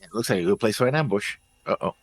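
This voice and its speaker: A man's voice: fairly low pitch, lively intonation, fast talker, soft background music. A man thinking aloud in casual, musing commentary as he watches a show. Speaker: reaction show host.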